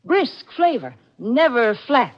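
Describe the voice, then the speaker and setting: A woman's voice: sits high, very animated, takes it slowly. A woman announcer delivering cheery, polished sales patter in a scripted 1940s radio advertisement. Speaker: commercial announcer. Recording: dull old 1940s radio recording.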